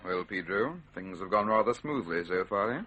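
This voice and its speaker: Radio villain: low, forceful, husky; a pompous, commanding schemer with a respectable front. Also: male voice, expressive, moderate pace, faint music behind. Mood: smug. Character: smooth-talking villainous mastermind posing as a respectable scientist. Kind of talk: old-time radio drama acting.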